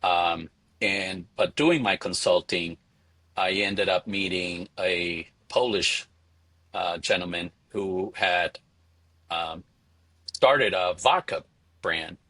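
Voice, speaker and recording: A middle-aged man from Venezuela answering interview questions conversationally, in a halting way, repeating words as he thinks. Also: low voice, sharp tone, no echo, rough recording. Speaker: interview guest, spirits entrepreneur.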